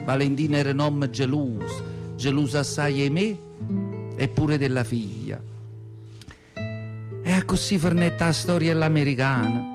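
An elderly, plainspoken mother from southern Italy, played by a male actor, reminisces in a radio play with a thick Neapolitan accent. The voice sits fairly high, confiding and rambling as it circles back over phrases. Plucked guitar music plays clearly behind it. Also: moderate pace, pauses often, natural voice. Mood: wistful, resigned. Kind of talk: radio theatre monologue in dialect.

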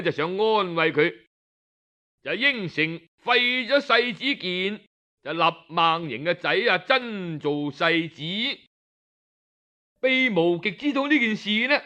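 A man with a Cantonese accent telling a story in a traditional oral style, colloquial and expressive, with drawn-out particles. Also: a little high, clean tone, dull audio.

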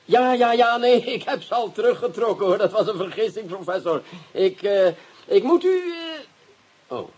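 Radio play: touchy, sarcastic police chief, middle-aged, high husky voice. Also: male voice, sing-song, moderate pace, darkish tone, exaggerated voice. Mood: flustered, apologetic. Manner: gruff, impatient, sarcastic. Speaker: police commissioner. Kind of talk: radio drama acting.

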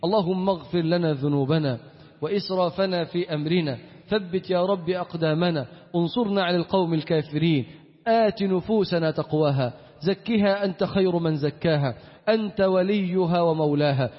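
A man's voice: high-pitched, fairly quick. A man with an Egyptian Arabic accent preaching an Islamic sermon in an emphatic manner, repeating key phrases. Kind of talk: Friday sermon with recitation and supplication. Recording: echoey hall with long reverb, dull sound.

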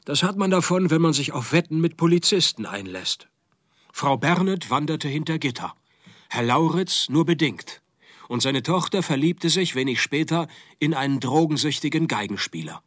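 An actor playing a laconic, sardonic private detective who narrates and leads a radio play with deadpan wit. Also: male voice, a little high, moderate pace, no echo, studio quality. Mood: wry, deadpan. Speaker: narrator and lead.

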